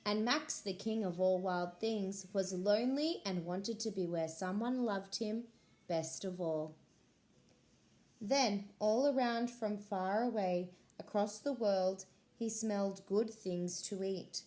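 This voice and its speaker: A woman, a school librarian, reading a picture book aloud to children in a slow, expressive voice. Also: mid-range voice.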